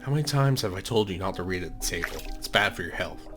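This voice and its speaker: A stern mother from a horror visual novel, voiced by a man. The delivery is sharp and scolding, like a parent who has repeated the same order many times. Loud music runs underneath. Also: deep voice, animated, fast. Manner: sharp, nagging. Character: stern, scolding mother of a twelve-year-old.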